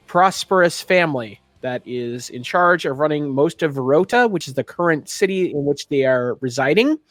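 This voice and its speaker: Higher-voiced man narrating a tabletop game. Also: no echo. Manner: descriptive, scene-setting narration. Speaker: game master, podcast host.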